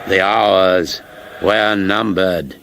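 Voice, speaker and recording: A man playing a solemn, portentous narrator of an epic fantasy parody on radio, telling it gravely and deliberately. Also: deep voice, steady tone, fairly bright tone, slight background noise, heavily acted. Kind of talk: scripted radio comedy narration.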